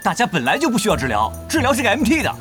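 A man's voice: high voice, wildly swooping pitch, racing, fairly bright tone, heavily acted. A man playing a skeptical, sarcastic young online gamer in an audio drama, with a mocking, dramatic manner. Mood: indignant, challenging. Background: music.

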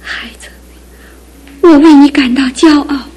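An actress playing a middle-aged aunt in a radio drama, speaking softly and often in a hushed whisper. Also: female voice, sits high, unhurried. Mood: wistful. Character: aging aunt, gentle, grieving an old love.

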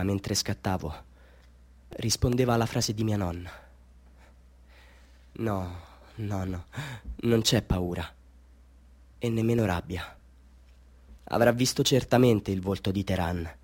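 Husky, fervent radio-drama storyteller. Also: male voice, lowish voice. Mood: hushed, awed. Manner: theatrical, swings between hushed confiding and fervent outbursts. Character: excitable amateur photographer, a fervent, self-doubting male storyteller.

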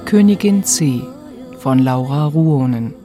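A woman's voice: a bass voice, expressive, slow pace. A woman announcer reading scripted radio play credits. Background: music.

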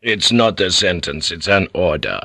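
A man's voice: sits low, moderate pace, pushed and bright, no room sound, studio quality, heavily acted. A man voicing an imperious, scornful feudal lord in an audiobook, stern and commanding. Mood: angry, scornful.

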